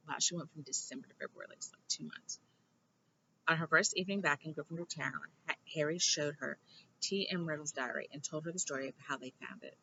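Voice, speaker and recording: Bright, creaky-voiced woman reading a story aloud. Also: sits low, brisk, highs cut off, slight background noise. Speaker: reader of a children's novel. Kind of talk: expressive amateur read-aloud with asides.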